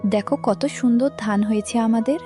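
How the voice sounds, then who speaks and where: A woman playing a caring, devout farmer's wife in a cartoon fairy tale, soft and earnest. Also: fairly high pitch, moderate pace, music in the background, natural voice. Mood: delighted.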